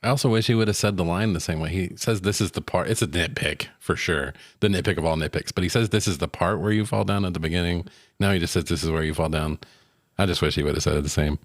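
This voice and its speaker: A man with a deep voice, recorded close and dry, co-hosts a film podcast. He chats casually, adding commentary and banter. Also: fast, dry recording. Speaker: podcast co-host reviewing movies.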